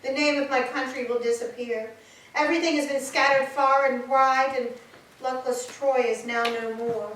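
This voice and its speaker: A woman's voice: high-pitched, fairly even intonation, normal speed, fairly bright tone. A woman playing a mournful captive in the chorus of a staged Greek tragedy, solemn and declamatory. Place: church, live staged reading. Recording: echoey hall, distant mic, background noise.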